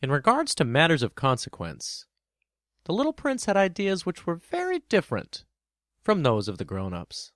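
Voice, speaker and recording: Expressive male storybook narrator. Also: a little high, normal speed, no echo, clean studio sound.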